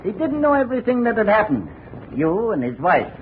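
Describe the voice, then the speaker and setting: Shady high-pitched 1950s radio operator. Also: male voice, huge pitch swings, brisk. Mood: sly, businesslike. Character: shady licensed private operator, wheedling and blunt on the phone. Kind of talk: 1950s radio drama acting. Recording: tinny, hissy 1950 radio recording.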